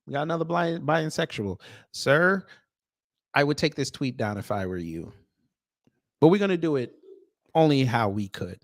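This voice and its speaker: A man with an American accent hosting off-the-cuff online commentary in a sarcastic, confrontational manner with pointed pauses. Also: fairly high pitch, animated, no echo, studio quality. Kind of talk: off-the-cuff opinion commentary, reading posts aloud.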